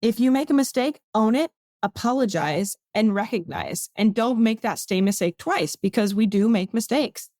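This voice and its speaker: A woman's voice: on the high side, animated, moderate pace, warm tone, no room sound, clean studio sound. A woman guest on a podcast, speaking in a firm, matter-of-fact way. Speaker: podcast guest, government advocacy consultant. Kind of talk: casual podcast conversation.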